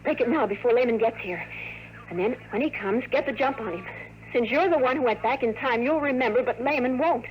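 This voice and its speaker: High-voiced earnest radio heroine played by a woman. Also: fairly quick, close to an everyday voice. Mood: urgent explaining. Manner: urgent, emotional, beseeching. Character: earnest, pleading woman agent in love with the hero. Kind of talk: 1950s radio drama acting. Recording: noisy vintage radio recording.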